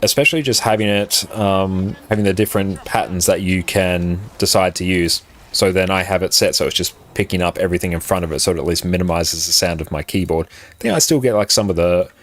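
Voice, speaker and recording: A man with a very low voice, chatting casually and rambling with many fillers as he answers viewers on a live game stream, recorded on a compressed condenser mic at a home setup. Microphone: condenser mic on an arm, compressed.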